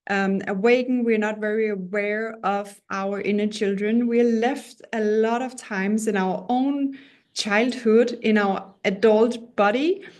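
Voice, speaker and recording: A woman's voice: mid-range voice, steady tone, slow pace, darkish tone. A woman from Denmark in her thirties with a Danish accent, giving the introduction to an online parenting course in an earnest, personal way with small restarts and self-deprecating asides. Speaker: course teacher.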